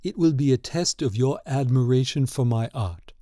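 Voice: medium pitch, moderate pace, warm tone, no echo, clean studio sound, close to an everyday voice. A storybook reader voicing a skeptical, dismissive young painter in a near-ordinary voice.

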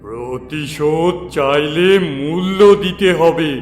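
Slow, echoing dark spirit. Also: male voice, a little high. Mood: ominous. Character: menacing dark spirit granting power at a price. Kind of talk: Bengali fairy-tale cartoon acting. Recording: cavernous reverb with music behind.

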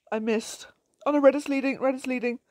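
A woman casually commenting on her own gameplay with a croaky voice, giggling and exclaiming often. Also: high-pitched, moderate pace, no echo, studio quality. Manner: giggly, excitable, frequent laughs and exclamations.

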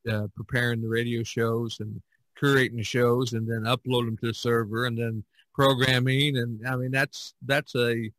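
A man talks casually as a podcast host. His voice is bright and medium in pitch, recorded dry. He feels his way through sentences, often repeating a word. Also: flat delivery, normal speed, no echo, low-quality recording.

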